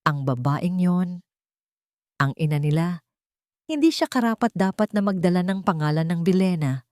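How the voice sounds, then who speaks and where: A woman voicing a proud older rich matriarch in a dramatic story, calm, hard and without remorse. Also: on the low side, normal speed, no room sound, clean studio sound, lightly acted. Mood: cold, justifying. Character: cold, proud, unrepentant older wealthy matriarch.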